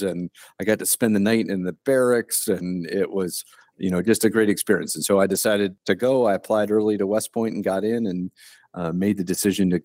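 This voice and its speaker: Plainspoken low-voiced retired officer. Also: male voice, darkish tone, dry recording, clean studio sound. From Ohio, US. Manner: plainspoken, unhurried storytelling. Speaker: guest, retired Army colonel. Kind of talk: casual interview conversation. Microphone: remote call.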